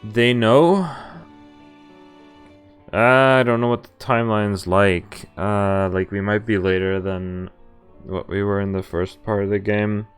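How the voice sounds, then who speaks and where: A man's voice: fairly low pitch, steady tone, unhurried, smooth, soft background music. A man streaming a game, reading dialogue aloud and reacting in a casual, wry, sweary way. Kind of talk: casual let's-play commentary and read-aloud of dialogue.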